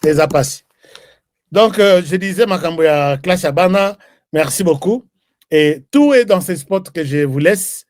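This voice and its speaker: A man's voice: a little high, expressive, measured pace, a little mellow, no echo. A man from Congo hosting a live political commentary show, chatting and reading viewer comments. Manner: chatty, switches between French and Lingala, reads viewer comments. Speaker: host.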